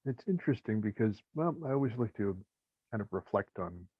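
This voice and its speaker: A man hosting an interview, asking questions in a measured, reflective way. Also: lowish voice, lively intonation, husky, no room sound, phone-quality sound. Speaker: podcast host.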